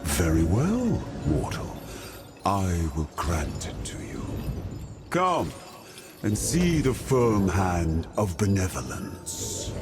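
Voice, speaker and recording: A man's voice: low voice, wildly swooping pitch, slow pace, creaky, full character voice. A man playing a pompous, imperious inhuman king in a video game, with grandiose theatrical delivery. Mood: lofty threat. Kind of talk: video game cutscene acting. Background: loud music.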